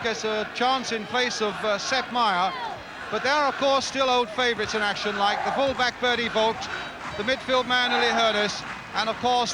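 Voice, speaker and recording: A man calls a football match in a clipped, measured broadcast manner, his voice pitched high and a little strained. A loud stadium crowd roars behind him. The old recording is full of noise. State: voice strained from travel. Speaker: football commentator. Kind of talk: match commentary with off-air asides.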